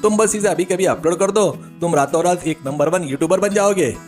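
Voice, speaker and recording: A man playing a sly village friend in a comic story, egging his pal on with flattery and urging. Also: high-pitched, brisk, soft background music, lightly acted. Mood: admiring.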